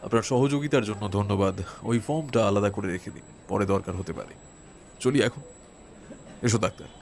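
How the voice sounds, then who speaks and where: A man playing a composed detective in an audio drama, speaking deliberately with polite authority and a probing, reasoning manner. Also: fairly low pitch, vocal fry, darkish tone. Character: composed, probing male private detective on a case.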